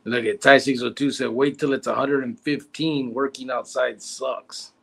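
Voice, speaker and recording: Forties man, gravelly husky voice, teasing livestream host reading chat. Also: mid-range voice, fairly even intonation, normal speed. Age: 40s. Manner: joking, teasing, reads comments aloud.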